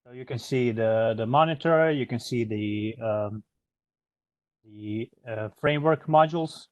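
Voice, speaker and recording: A man giving an informal software tutorial as a product trainer, explaining step by step in a hesitant way. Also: medium pitch, unhurried, dry recording.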